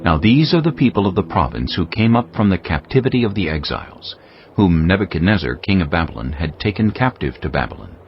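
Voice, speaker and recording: Deep, expressive male scripture narrator. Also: dull audio, slight background noise. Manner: measured, formal. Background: music.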